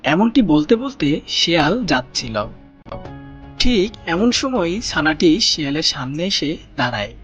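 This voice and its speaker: A storyteller narrates a children's cartoon tale in a mid-pitched voice. Music plays clearly behind the scripted reading. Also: very animated, unhurried, fairly bright tone.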